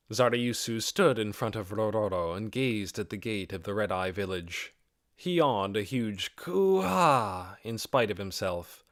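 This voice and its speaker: A man with a fairly low voice narrates a fantasy novel. The delivery is scripted storytelling, and the sound is dry, with very little room in it. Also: no echo. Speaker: audiobook narrator.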